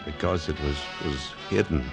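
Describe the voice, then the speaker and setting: An actor playing a tormented, guilt-ridden amnesiac fugitive in a 1940s radio drama, brooding and halting in his delivery. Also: a bass voice. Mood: anguished. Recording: vintage radio broadcast with orchestral music.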